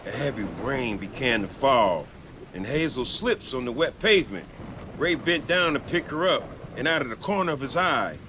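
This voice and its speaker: A man narrates a thriller audiobook in a dramatic, scripted style. His mid-pitched voice is bright and highly animated, with faint noise behind it.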